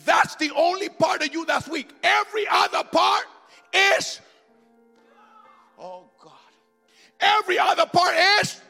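A man preaching a sermon, swinging between loud shouted lines and quick quieter asides. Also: very high-pitched. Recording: music under voice in a long-reverb hall.

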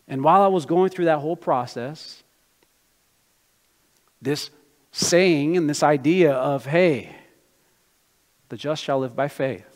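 Man preaching, slightly high voice, long reverb of a hall, personal storytelling, emphatic peaks. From Brazil, raised in the United States. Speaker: pastor. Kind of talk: sermon.

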